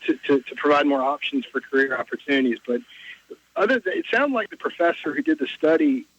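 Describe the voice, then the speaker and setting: Male call-in listener, casual and hesitant, a bit high-pitched, lo-fi line. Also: very animated, fast talker, creaky, a bit bright, slightly rough. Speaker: radio show caller. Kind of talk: casual opinion on call-in radio.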